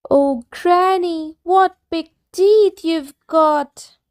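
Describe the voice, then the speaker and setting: A woman voicing a sweet, eager little girl in a storybook reading, with high, breathless cries. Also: drawn-out words, darkish tone, heavily acted.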